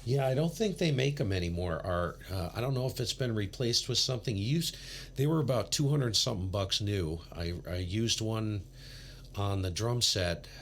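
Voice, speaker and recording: A man's voice: animated, moderate pace, a bit bright, a little hiss or hum. A man hosting an amateur radio livestream, talking casually in a laid-back, rambling way. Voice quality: low voice. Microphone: big studio condenser.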